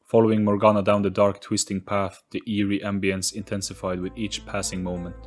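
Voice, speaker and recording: Deep male narrator, dramatic scripted storytelling, quiet music underneath. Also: flat delivery, fairly quick.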